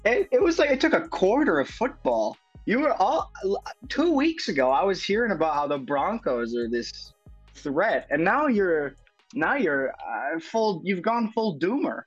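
A man's voice: high voice, big pitch swings, unhurried, breathy edge, dry recording, soft background music. A young man co-hosting a football podcast, arguing animatedly with lots of stammered restarts. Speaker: podcast co-host. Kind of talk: casual football podcast chat. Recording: dull dry lo-fi sound.

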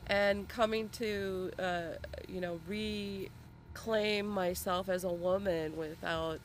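A woman being interviewed in a vendor tent outdoors at a festival, talking slowly and haltingly with many fillers. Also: mid-range voice, steady tone. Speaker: interview guest. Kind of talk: casual interview. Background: faint air compressor noise.

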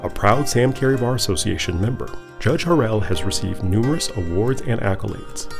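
A man with a low, gravelly voice reads a scripted podcast introduction. His delivery is measured, yet his pitch moves a lot. Guitar music plays behind him. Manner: measured, announcer-like. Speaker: podcast narrator. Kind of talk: scripted narration.

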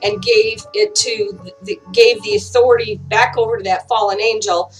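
A woman with a dry voice giving an informal online Bible teaching, halting often with fillers and restarts. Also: fairly high pitch, animated, soft background music, low-quality recording. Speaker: online preacher.

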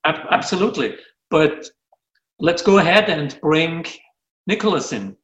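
A man's voice: on the high side, lively intonation, a little slow, a bit bright, echoey room, poor audio. A man with a German accent, a native German speaker, co-hosting a podcast with dry jokes. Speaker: podcast co-host. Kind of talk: casual podcast chat.

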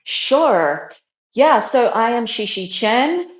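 A woman's voice: on the high side, very animated, slow pace. A young woman from China, raised in Australia, talking earnestly as an interview guest. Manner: earnest, reflective, with fillers. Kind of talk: casual interview talk. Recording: roomy and dull.

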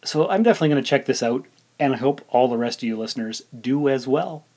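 A man's voice: medium pitch, expressive, fast talker, a little mellow. A man in his forties hosting a solo podcast, talking informally and thinking aloud as he rambles along. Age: 40s. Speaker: podcast host. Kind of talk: casual solo podcast monologue.

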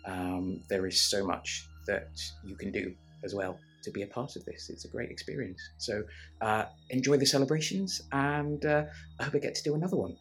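A middle-aged man gives a casual, chatty testimonial as a voice actor. His voice is low, he hesitates as he goes, and soft music plays underneath. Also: normal speed.

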